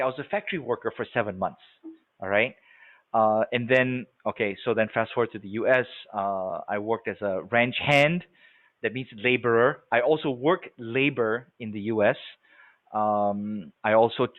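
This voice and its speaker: A man in his forties from Singapore leads a sales training webinar in a mid-range voice. He talks in a loose, conversational way and keeps checking that his listeners follow. The sound is narrow and tinny, like a phone call. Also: medium pitch. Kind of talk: live webinar training talk. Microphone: video call.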